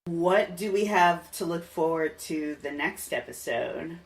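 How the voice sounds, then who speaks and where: A woman's voice: lowish voice, unhurried. A woman hosting a podcast, chatting casually and effusively with her guest. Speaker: podcast host, interviewer. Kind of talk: casual interview chat. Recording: slightly distant mic, faint music.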